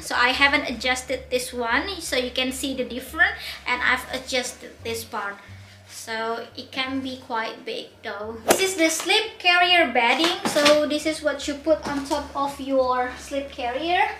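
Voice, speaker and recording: Bubbly young woman with a bright, high voice. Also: a little slow, echoey room, slight background noise. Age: young adult. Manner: bubbly, giggly, gushing. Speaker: vlogger. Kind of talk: product unboxing vlog. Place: bright indoor room.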